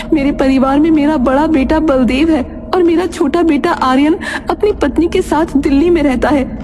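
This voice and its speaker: A woman playing a distraught widowed mother in an animated horror story, anguished and earnest. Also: sits high, close to an everyday voice. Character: distraught widowed mother of a village landlord family. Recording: music behind the voice.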